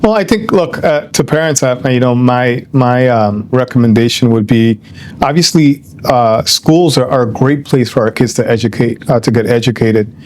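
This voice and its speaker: An older man from Ecuador, answering an interviewer's questions in a reflective, unhurried way. He pauses with lots of "um" and "you know", restarts his phrases, and slips Spanish words into his English. His pitch sits in the middle range for a man. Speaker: guest.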